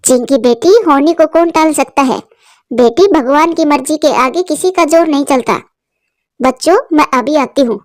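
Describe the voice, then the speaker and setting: A woman voicing a kind, motherly female crow neighbor in a cartoon story, speaking in a soothing, gentle way. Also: high voice, moderate pace, dry recording, clean studio sound, lightly acted.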